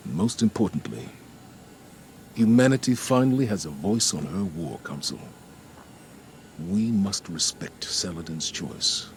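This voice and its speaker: A grave commander in a video game, with a low voice. He is formal, dutiful and a little weary, choosing words with care, over faint ambient noise. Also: big pitch swings, full character voice. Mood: grave, reflective. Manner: formal, measured, earnest. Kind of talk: dramatic video game cutscene monologue.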